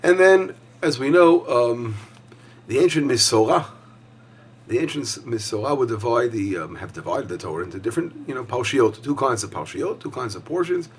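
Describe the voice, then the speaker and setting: A hoarse man teaching a weekly Torah lesson as a rabbi, talking conversationally with frequent fillers and restarts. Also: medium pitch, animated, normal speed, slight background noise.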